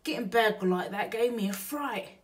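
A woman's voice: on the high side, moderate pace, recorded from a distance, pitched above the performer's own voice, close to an everyday voice. A woman voicing a good-natured, plain-spoken working-class male burglar in a storybook. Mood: repentant.

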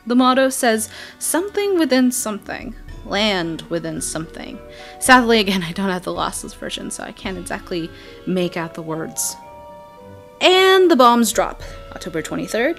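A woman hosting a game video, giving an excited, chatty, emphatic voice-over breakdown. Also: mid-range voice. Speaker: video game channel host. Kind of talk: game trailer breakdown voice-over, semi-scripted. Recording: voice-over with background music. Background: music.

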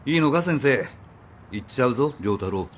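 A man's voice: mid-range voice, lively intonation, normal speed, slight background noise, natural voice. A man playing an affable, easygoing middle-aged man in a radio drama. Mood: casual concern. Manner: affable, casual. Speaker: actor.

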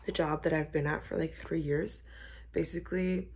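A woman in her twenties with a slightly hoarse voice, chatting casually in a vlog with frequent asides, recorded on a phone indoors. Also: low voice, fairly even intonation, normal speed, a little mellow. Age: 20s. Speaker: vlogger. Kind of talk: casual vlog chat to camera. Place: small living room indoors, on a couch. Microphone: phone at arm's length.

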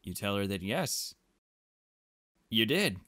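A man's voice: on the low side, big pitch swings, slow, bright, forceful tone, dry recording, studio quality. A man hosting a gaming playthrough, reading dialogue aloud casually with joking, exclamatory asides. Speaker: gaming let's play host.

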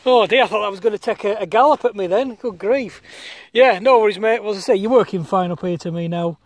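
Man, Northern English accent, high voice, dry outdoor sound, chatty colloquial radio operator talk. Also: fast, no echo. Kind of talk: casual chat over ham radio. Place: outdoors on a hilltop common.

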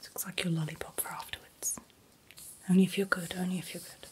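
A middle-aged woman speaking in a gentle, breathy whisper in short soft phrases, as a doctor in an ASMR roleplay. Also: lowish voice, level tone, brisk. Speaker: ASMR performer playing a doctor.

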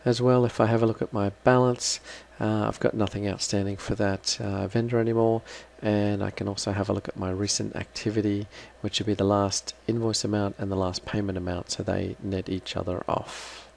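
A man giving an unscripted, halting step-by-step software walkthrough as a trainer. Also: on the low side, flat delivery, gravelly, slight background noise. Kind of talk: screen-recorded software walkthrough.

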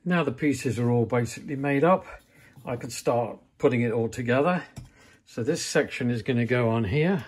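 A man's voice: mid-range voice, moderate pace, husky. An older man, a silversmith, explaining each step plainly as he works at his bench in a small workshop. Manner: practical, plain-spoken, step-by-step.

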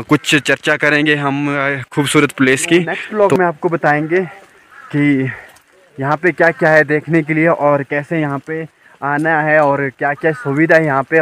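Young man, outdoor phone vlog, chatty, fairly high voice, loose with fillers. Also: a bit bright, a little hiss or hum. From Himachal Pradesh, India. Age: young adult. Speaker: vlogger. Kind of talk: casual vlog talk to camera. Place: outdoors on a hillside by a lake. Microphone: phone held at arm's length.

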